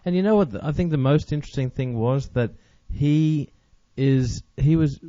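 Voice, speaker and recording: A man's voice: on the high side, moderate pace, warm tone, dry recording. A man hosting a podcast in a casual, conversational chat. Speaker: podcast host. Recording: dry, slightly dull recording.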